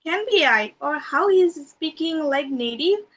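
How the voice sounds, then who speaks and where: A woman from Bangladesh, a podcast guest, giving earnest, long run-on answers with soft fillers. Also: high-pitched, animated, measured pace, highs cut off. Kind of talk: interview answers.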